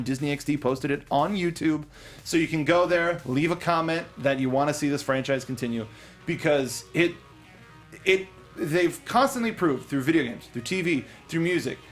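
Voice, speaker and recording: Excitable man co-hosting a pop culture show. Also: fairly high pitch. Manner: enthusiastic, rambling with fillers and restarts. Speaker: podcast co-host. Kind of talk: casual chat.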